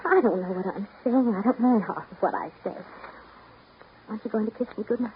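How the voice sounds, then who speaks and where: A woman's voice: medium pitch, a little fast, close to an everyday voice. An actress in a 1930s radio drama playing an anxious young woman, intimate and imploring as she pleads. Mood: pleading. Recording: muffled, noisy 1930s radio broadcast recording.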